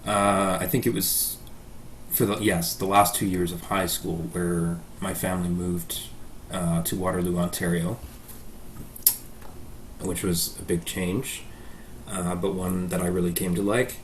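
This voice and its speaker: A man's voice: low-pitched, flat delivery, measured pace, a little hiss or hum, poor audio. A Canadian man in his thirties answering interview questions about his life, with frequent ums and uhs. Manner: hesitant, many ums and uhs. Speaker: guest pianist.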